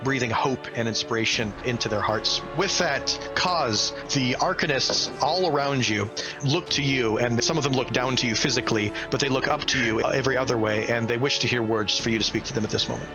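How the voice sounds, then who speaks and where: A man's voice: mid-range voice, a little fast, rough recording. A man narrating a tabletop role-playing game as game master, in an earnest, theatrical and descriptive way. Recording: prominent music under the voice. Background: music.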